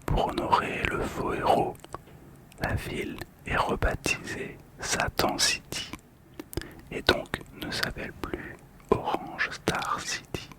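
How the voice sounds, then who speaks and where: A man hosting a relaxation video in a soft, calm, breathy whisper, telling stories in ASMR style. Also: takes it slowly. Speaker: host of a relaxation channel about collectible cards.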